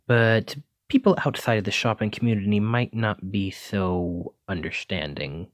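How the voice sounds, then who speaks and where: A man's voice: fairly low pitch, measured pace, no room sound, clean studio sound, close to an everyday voice. A man voicing a clumsy, kindly wizard in an ASMR roleplay, courteous and apologetic, stammering when flustered. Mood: warm, reassuring. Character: clumsy, kindly wizard bookseller teaching magic. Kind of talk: ASMR roleplay addressed to listener.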